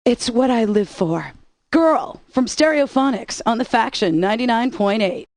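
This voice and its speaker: A woman's voice: a little high, lively intonation, moderate pace, darkish tone, dry recording. An actress playing a wry, upbeat alternative-rock radio DJ woman in a video game, introducing songs with sarcastic patter. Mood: playful, wry.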